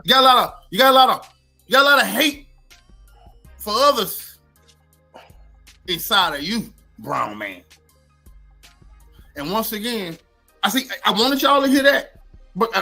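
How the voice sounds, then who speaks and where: A man delivers opinionated commentary on a livestream over music. His voice is high and sharp. He hammers phrases by repeating them, in emphatic street slang. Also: gravelly, breathy edge.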